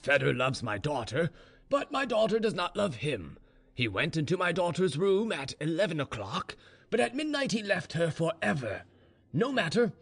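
Dignified military father, performed by a male narrator, stern and formal, pitched high, decisive storybook dialogue. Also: big pitch swings, moderate pace, creaky, fairly bright tone, husky, heavily acted. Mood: resigned, decisive. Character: stern, dignified Russian general, grieved but resigned father.

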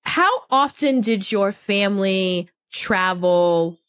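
A woman's voice: mid-range voice, slow pace, clear, resonant tone, no room sound, clean studio sound. A young woman hosting a podcast interview, talking animatedly and checking in with "right?" as she goes. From Cameroonian family, raised partly in the United States. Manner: animated, affirming, frequent "right?" tags. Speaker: podcast host. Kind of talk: conversational interview.

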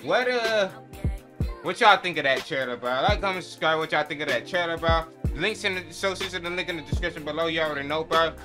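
A man's voice: fairly high pitch, a little fast, over music. A man gives casual, hyped, slangy and profane reaction commentary. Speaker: reaction video host.

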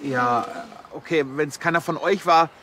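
A man's voice: fairly high pitch, a little slow, sharp tone, a little hiss or hum. An actor playing an earnest, naive junior policeman in a TV crime comedy, hesitant and unsure of himself. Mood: awkward, friendly.

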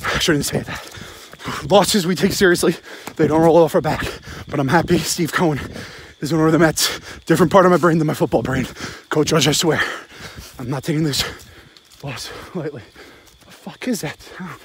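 A man's voice: fairly high pitch, a little fast, slight background noise. A man in his forties giving a blunt, sweary, rambling sports rant in short emphatic bursts into a phone while walking outdoors. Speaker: sports vlogger, football fan. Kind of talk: casual postgame rant, rambling to camera. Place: outdoors at night. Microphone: phone held close.

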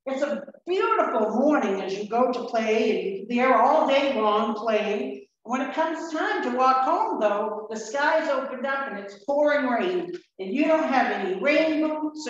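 A woman giving a children's message in a church, slowly posing what-if questions to a child, recorded from afar in the sanctuary. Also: high-pitched, a little hiss or hum. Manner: slow, gentle questioning of a child. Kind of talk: children's sermon storytelling. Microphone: lectern mic from a far camera. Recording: echoey, dull church room recording from afar.

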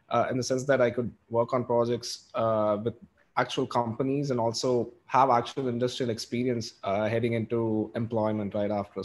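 A man from India, an alumni panelist on a video call, explaining his reasons in an organized way with frequent pauses. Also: mid-range voice.

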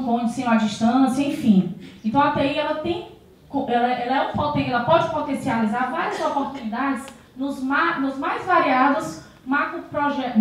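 A woman in her thirties from Brazil giving a conference talk on stage with a handheld mic, often adding tag questions and restarting sentences. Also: high voice. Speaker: conference speaker. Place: large auditorium stage with audience. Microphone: handheld microphone. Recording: very echoey hall, voice from far off.

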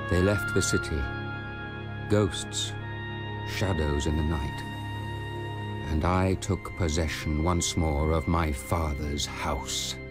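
An actor playing a weary, grieving young Judean nobleman who narrates his past in a radio drama, measured and solemn. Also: deep voice. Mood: wistful.

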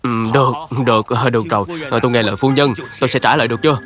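A man voicing a wealthy middle-aged gentleman in a cartoon, greedy and evasive, blustery and ingratiating. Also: mid-range voice, steady tone, very fast, over music, natural voice. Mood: reluctant. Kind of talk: Vietnamese-dubbed moral cartoon.

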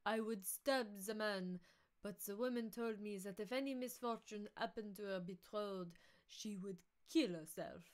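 Woman playing a slow, despairing lovesick young man. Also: mid-range voice, close to an everyday voice. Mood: anguished devotion. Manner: earnest, dramatic, drawn-out.

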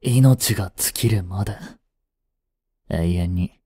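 A man's voice: sits low, slow pace, slightly rough, no room sound. A man playing a devoted young butler. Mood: tender. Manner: soft, gentle and intimate in the bedside scene; shouting and frantic while searching the fire. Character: devoted young male butler, protective and tender. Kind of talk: romantic voice drama acting.

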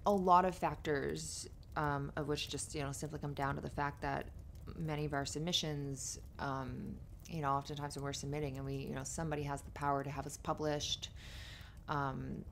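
A woman playing an affectionate, playful writer girlfriend in an intimate ASMR roleplay, gently reassuring her partner in a giggly, rambling way. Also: low voice, level tone, normal speed. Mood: soothing, earnest. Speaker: audio roleplay performer. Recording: noisy fireplace ambience behind voice. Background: fireplace ambience.

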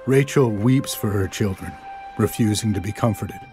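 A man's voice: lowish voice, moderate pace, dark tone, close to an everyday voice. A man acting a mournful, solemn prophet reciting a lament in an audio Bible drama. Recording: faint music behind the voice.

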